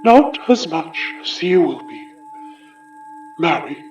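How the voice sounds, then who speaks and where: An actress playing an arrogant, menacing sorcerer spirit in a horror audio drama, haughty and theatrical in delivery. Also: female voice, sits low, sing-song, slow pace, a little mellow, highs cut off, soft background music, heavily acted. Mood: threatened, angry. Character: menacing, arrogant possessing sorcerer, cold and unrepentant.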